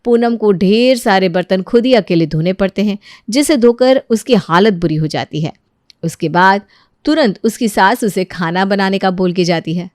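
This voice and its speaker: A woman's voice: medium pitch, moderate pace, a little mellow, dry recording, clean studio sound. A woman telling a scripted household moral story as its narrator.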